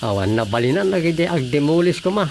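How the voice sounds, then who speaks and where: Officious, high-voiced Filipino village councilman. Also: sing-song, quick pace, a little mellow, soft background music. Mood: reporting. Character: officious, meddling male village councilman and inspector. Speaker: radio drama actor. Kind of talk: Filipino radio drama comedy acting.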